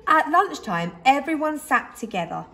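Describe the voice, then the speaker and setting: Animated, high-voiced woman reading a story. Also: gravelly. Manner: dramatic pauses and emphasis. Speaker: teacher reading to young children. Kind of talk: expressive children's story reading.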